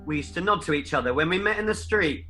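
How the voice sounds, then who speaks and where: Man acting quick, flippant, callous gentleman gossip. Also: on the high side, lively intonation, soft background music. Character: callous, flippant businessman gossiping about a death. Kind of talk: radio drama acting.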